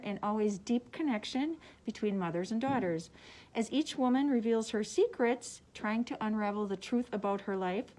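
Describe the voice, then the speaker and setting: A woman's voice: fairly high pitch, a little mellow, poor audio. A woman librarian presenting book recommendations, partly reading summaries aloud.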